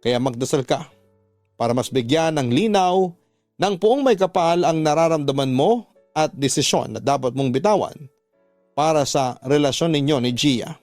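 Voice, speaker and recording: A man with a Filipino accent hosting a radio-drama letter show, speaking in an earnest, measured, sentimental way. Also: a little high, darkish tone, no room sound. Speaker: host reading listeners' letters. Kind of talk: radio drama narration and advice.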